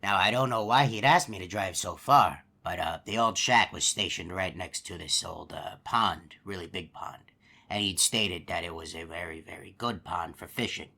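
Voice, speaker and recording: A man's voice: deep voice, normal speed, creaky, bright, forceful tone, heavily acted. A man voicing a chatty male hippo animatronic who tells a long folksy story, rambling with lots of uh and you know. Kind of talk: game character monologue.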